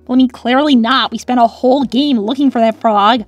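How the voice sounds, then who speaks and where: A woman's voice: a little high, no echo, dull audio, faint music behind, lightly acted. A woman voicing a perky, lovestruck young hedgehog girl in a cartoon Q&A, gushing and easily flustered. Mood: defensive. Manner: gushing, quick to get flustered and defensive. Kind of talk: in-character cartoon Q&A.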